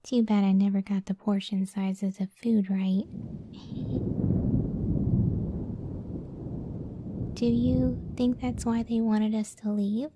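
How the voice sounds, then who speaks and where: A woman voicing a gentle, self-doubting giant cyclops wife in a soft, breathy ASMR roleplay, speaking tenderly and often near a whisper. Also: medium pitch, flat delivery, very noisy. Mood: wistful, insecure. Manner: slow, tender, unhurried.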